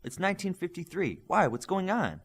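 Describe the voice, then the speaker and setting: Man reading as a confident young superhero girl, raised pitch. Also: sing-song, normal speed. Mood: curious. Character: Glimmer, quick, proud, friendly girl superhero trainee.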